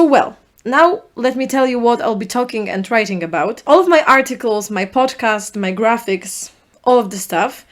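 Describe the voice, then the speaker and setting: Woman, young adult, Polish accent, non-native English, fairly high voice, solo podcast host, reflective, halting phrasing. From Poland. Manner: earnest, reflective, speaks in short halting phrases.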